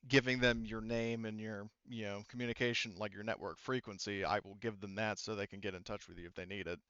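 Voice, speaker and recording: A man voicing a composed, cooperative woman ship's officer in a tabletop game, speaking in a measured, polite, official way. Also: lowish voice, fairly even intonation, bright, forceful tone, clean tone, dry recording, muffled recording. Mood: earnest, cooperative.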